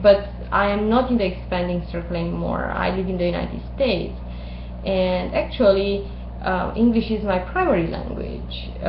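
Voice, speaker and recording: Halting Romanian-born woman lecturing. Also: on the low side, creaky, noisy background. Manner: thoughtful, many ums and uhs, halting. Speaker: instructor. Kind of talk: recorded mini-lecture. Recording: roomy, dull lo-fi recording.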